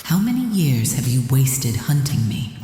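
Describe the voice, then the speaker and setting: A woman's voice: a bass voice, sing-song, measured pace, dark tone, heavily acted. An actress playing a defiant, sardonic ancient woman held captive, taunting her captor in a cinematic audio drama. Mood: mocking. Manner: taunting, sardonic, sometimes dropping to a whisper. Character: defiant, mocking ancient female captive in pain. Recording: cavernous echoey hall with faint music.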